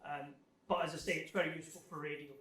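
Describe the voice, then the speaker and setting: A man giving an informal technical presentation, explaining things in a halting, thinking-aloud way as he answers questions. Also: a little high, fairly even intonation, fairly bright tone. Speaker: guest speaker giving a technical club talk. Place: club meeting room, live talk. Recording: echoey room, distant microphone.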